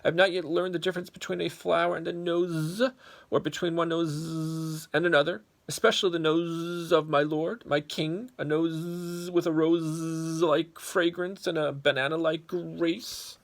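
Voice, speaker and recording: A small, foolish young bee, put on by a man reading a storybook aloud, speaks in a raised, thin voice. He begs and flatters, humble and a little breathless with nerves. The voice sits well above the reader's own, with a gravelly edge underneath. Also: fairly high pitch, measured pace, pitched above the performer's own voice. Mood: pleading. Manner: meek, pleading, flattering.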